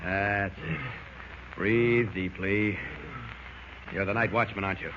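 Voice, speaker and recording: An actor playing a heroic, resolute superhero, urgently thinking aloud in 1940s radio serial style. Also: male voice, fairly low pitch, steady tone, slow. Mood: urgent, reassuring. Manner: dramatic, urgent self-narration. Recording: noisy, dull old radio recording.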